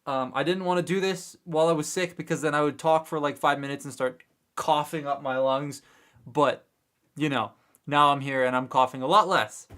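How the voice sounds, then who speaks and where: A man's voice: fairly high pitch, fairly even intonation, normal speed, a bit bright. Congested man chatting on a livestream. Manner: rambling, chatty, many fillers and restarts. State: congested, recovering from a cold. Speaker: toy review livestream host. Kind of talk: casual live chat while building a kit. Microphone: desk mic close by.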